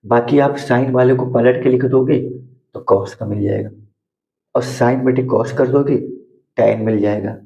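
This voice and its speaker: A hoarse man teaching math to school students at a board, repeating steps and asking questions that he answers himself. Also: mid-range voice, fairly even intonation, normal speed, dull audio. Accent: Hindi.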